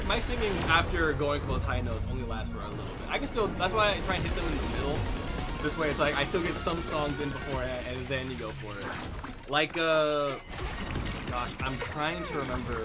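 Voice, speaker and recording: A young man hosting a casual chat while playing a game, excitable and jumping between topics. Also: medium pitch. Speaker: channel host.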